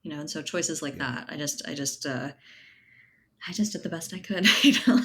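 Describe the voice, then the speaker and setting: A woman's voice: sits low, normal speed, fairly bright tone. A woman speaking as a guest author in a casual, reflective interview, thinking aloud in loose, informal clauses.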